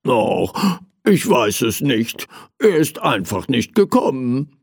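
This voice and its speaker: A man's voice: mid-range voice, huge pitch swings, unhurried, gravelly, husky, no room sound, clean studio sound, exaggerated voice. A man voicing a small, childlike teddy bear in a storybook, eager and plaintive. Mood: sad, worried.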